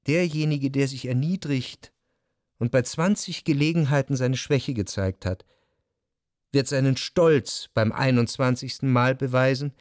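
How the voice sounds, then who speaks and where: A man's voice: medium pitch, unhurried, darkish tone, no echo. Reflective male narrator, close and dry. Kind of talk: scripted literary narration in radio drama.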